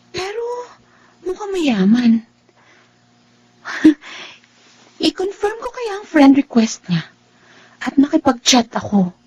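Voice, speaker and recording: High female voice, earnest young Filipina student, worried yet hopeful, radio drama, dull audio. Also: dark tone, husky, dry recording, heavily acted. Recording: dull lo-fi audio with faint music.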